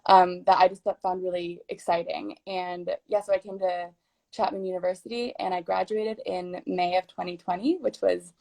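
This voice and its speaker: A woman's voice: on the low side, moderate pace, gravelly. A woman in her twenties, a film producer, chatting casually as a guest in a live-streamed interview. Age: 20s. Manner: relaxed, chatty storytelling. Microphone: live video stream from home.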